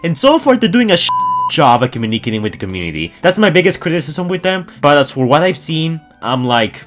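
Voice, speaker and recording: A man talking informally and excitably to his viewers as a gaming news YouTuber, in a casual, enthusiastic commentary style. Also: on the high side, fast, music in the background.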